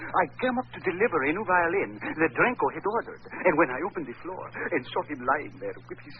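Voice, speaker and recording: A man playing a shocked, excitable hotel employee, agitated and hurried, in 1940s radio acting. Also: fairly high pitch, a crowd in the background. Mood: shaken. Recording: noisy, muffled old radio recording.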